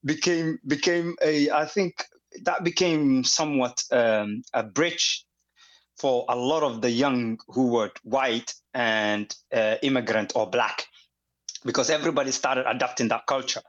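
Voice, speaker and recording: A man's voice: mid-range voice, unhurried, fairly bright tone. Hesitant Somali-born man, muffled audio. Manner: hesitant, many fillers and restarts. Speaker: guest. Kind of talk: conversational interview. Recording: dull lo-fi audio.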